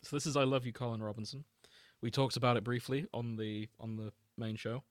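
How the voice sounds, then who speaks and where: A man's voice: mid-range voice, steady tone, a little fast, no echo. A man hosting a podcast, chatting casually and jokily with the odd mock-announcer aside. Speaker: podcast host. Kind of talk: casual comedic podcast chat.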